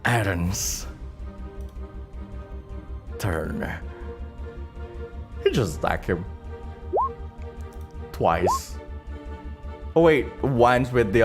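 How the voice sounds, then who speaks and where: A man running an improvised tabletop game on a livestream as game master, telling the story in a halting way while thinking aloud. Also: mid-range voice.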